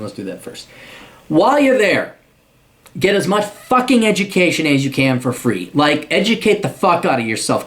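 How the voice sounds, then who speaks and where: Blunt, profane man giving advice. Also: on the high side. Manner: blunt and profane, with incredulous outbursts. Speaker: podcast host giving advice. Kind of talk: reading a listener letter and answering it.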